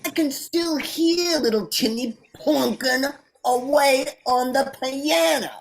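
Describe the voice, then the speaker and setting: A woman's voice: sits high, takes it slowly, gravelly, exaggerated voice, low-quality recording. A woman playing a grumbling, slangy old sprig of Christmas holly in a radio play, wisecracking and drawling. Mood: softened.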